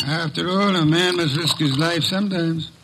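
Pompous, grumbling detective, raised pitch. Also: male voice, fairly even intonation, moderate pace, gravelly. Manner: pompous, declamatory. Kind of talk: 1950s radio drama acting. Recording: faintly noisy old radio recording.

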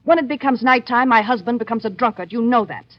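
A woman's voice: on the high side, fast, dry recording, natural voice. Woman acting a commanding, scornful scheming wife, vintage radio. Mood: scornful, demanding. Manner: commanding, taunting, theatrical. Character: scornful, scheming wife plotting her husband's murder. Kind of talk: old-time radio drama acting. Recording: dull vintage radio recording.

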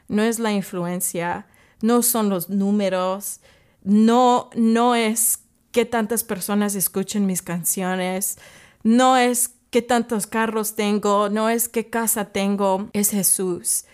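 A woman podcast host whose native language is English gives a devotional talk of encouragement in an earnest, halting way, repeating key phrases. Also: medium pitch, steady tone, a little mellow, clean tone.

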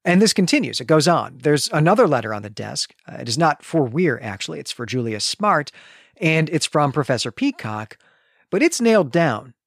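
Lively, higher-pitched man hosting a podcast. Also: moderate pace, gravelly, dry recording, clean studio sound. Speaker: podcast co-host. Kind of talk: literary podcast recap, semi-scripted.

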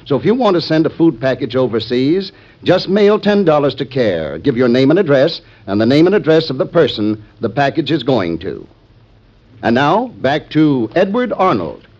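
A man's voice: mid-range voice, very animated, normal speed, darkish tone. A man reading scripted announcements in a 1940s radio announcing style. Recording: muffled old radio recording.

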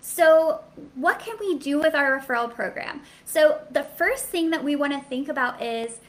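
A woman's voice: high voice, animated, moderate pace. A woman with a sweet voice giving a live coaching talk to hairstylists, candid and chatty with lots of "like" fillers.

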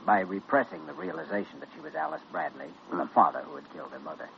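A low, gravelly voice with plenty of movement a steady, caring doctor. He probes gently and reassures in classic radio drama manner, on a dull vintage recording. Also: fast, a little hiss or hum, heavily acted. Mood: serious. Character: calm, reassuring, inquisitive male hospital doctor. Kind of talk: old-time radio drama acting.

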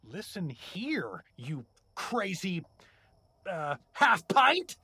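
A man's voice: sits high, wildly swooping pitch, extremely slow, pushed and bright, breathy edge, no echo, heavily acted. A man playing an exasperated, blustering everyman, a reluctant hero trapped in a comedic fantasy audio drama, indignant and incredulous.

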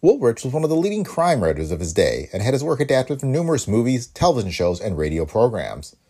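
A man's voice: medium pitch, quick pace, husky. A man hosting an old-time radio podcast, reading a scripted introduction. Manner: read from a script, lively intonation. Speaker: podcast host.

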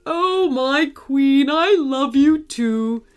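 A woman voicing a big, gentle, bashful male hippo in a storybook, earnest and sentimental. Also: medium pitch, sing-song, slow pace. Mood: adoring.

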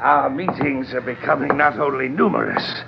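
An actor playing a pompous, smugly menacing fat trader in an old-time radio drama, speaking in deliberate, ornate phrases with a gravelly voice. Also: male voice, medium pitch, over music. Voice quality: gravelly, creaky. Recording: dull, noisy vintage radio recording.

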